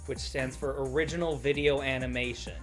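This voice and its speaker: A man's voice: mid-range voice, steady tone, fairly quick, faint music behind. A man in his thirties giving casual, joking, sweary commentary while playing a game. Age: 30s. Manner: crude, swearing. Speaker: gaming video host.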